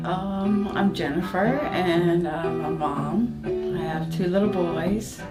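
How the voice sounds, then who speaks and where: Hesitant fifties woman, soft voice, guitar music behind. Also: lowish voice, slow pace, poor audio. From Florida, US. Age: 50s. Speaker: clinic patient. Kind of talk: documentary interview. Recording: music bed under voice.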